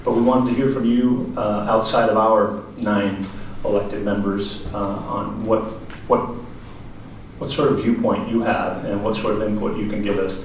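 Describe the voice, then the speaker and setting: A man's voice echoes heavily through a meeting room, picked up from far off. He speaks on the low side as the chair of public comment, measured and a little hesitant, explaining things in plain but formal terms. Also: fairly even intonation, highs cut off. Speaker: city council chair running public comment. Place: council chamber at city hall. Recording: echoey meeting room from afar, faint hiss.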